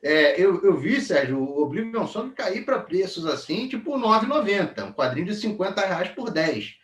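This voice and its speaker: A man's voice: high-pitched, sing-song, normal speed, fairly bright tone. A man in his forties with a Brazilian accent co-hosts a comics review in casual, colloquial chat. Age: 40s. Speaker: co-host of a comics review channel. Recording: roomy echo, distant mic.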